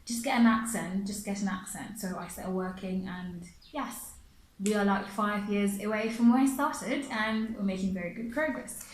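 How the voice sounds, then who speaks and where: A woman's voice: medium pitch, moderate pace, roomy sound, a little hiss or hum, poor audio. A woman from Africa who teaches pronunciation and speaks English as a second language, chatting casually through viewer questions, laughing often and repeating her phrases. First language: not English.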